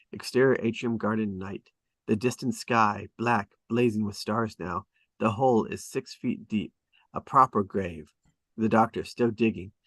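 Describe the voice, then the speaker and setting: A man's voice: lowish voice, sharp tone, no room sound. A man narrating a script at a table read, reading stage directions dramatically in short, clipped phrases.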